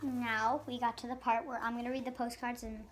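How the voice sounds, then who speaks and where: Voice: medium pitch, flat delivery, a little fast, noisy background. A child of about seven or eight reading a picture book aloud, stumbling now and then on harder words. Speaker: young reader.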